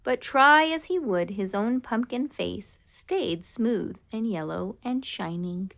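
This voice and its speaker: Female storybook reader for children: expressive and dramatic, slow delivery with very animated intonation. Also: medium pitch, vocal fry. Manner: dramatic, expressive read-aloud with drawn-out words. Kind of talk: scripted children's storytime narration.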